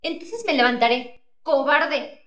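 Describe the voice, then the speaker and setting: A woman's voice: high voice, lively intonation, unhurried, fairly bright tone, echoey room. A woman playing a bossy, suspicious wife scared of burglars, nagging urgently and scornfully in a comedic radio play. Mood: scolding, scornful.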